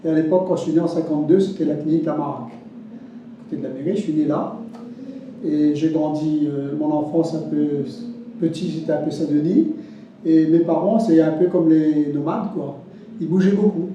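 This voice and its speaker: A man in his seventies from Réunion with a Réunionese French accent, telling his life story haltingly, with repeats and restarts. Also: fairly high pitch, fairly even intonation, warm tone, a little hiss or hum. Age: 70s. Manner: halting, repeats words, many restarts and fillers. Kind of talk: spoken testimony. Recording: distant mic, roomy, dull and lo-fi.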